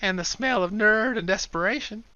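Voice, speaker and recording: A cocky young gambler played by a male narrator fires off loud, high-pitched jokes and mockery. His voice is sharp and swoops all over. The audiobook recording sounds dull and lo-fi. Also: very animated, moderate pace, slight background noise, heavily acted. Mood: wisecracking. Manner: loud, high, mocking, jokey. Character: young man, cocky wisecracking gambler sidekick.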